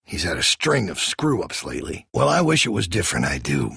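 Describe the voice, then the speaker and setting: A ruthless American survivor boss in a video game. His voice is low and heavily rasped, with folksy, controlling menace that moves between soft persuasion and hard command. The recording is close and dry. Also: wildly swooping pitch, dry recording, full character voice. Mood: quiet, persuasive. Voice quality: gravelly, raspy. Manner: folksy, slow-burning menace, preachy. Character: menacing male survivor-camp leader, calm, controlling, ruthless.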